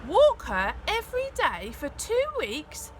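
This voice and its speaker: A woman's voice: high-pitched, huge pitch swings, slow pace, noisy background, pitched above the performer's own voice, exaggerated voice. A woman reading a storybook and voicing a cheeky, wheedling schoolboy, full of exclamation. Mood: astonished. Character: cheeky schoolboy, eager for money, reluctant dog walker.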